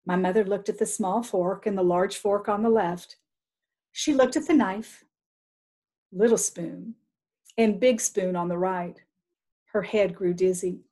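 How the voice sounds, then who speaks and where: A woman reading a children's picture book aloud as an expressive storyteller. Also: mid-range voice, a little slow, long pauses.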